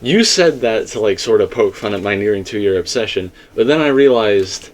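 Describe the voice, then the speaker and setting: Emphatic, rambling man with a lowish voice. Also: animated, moderate pace, slight background noise. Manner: emphatic, rambling, speaks in asides and self-quotes. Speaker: video commentator and theorist. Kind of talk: casual fan theory discussion.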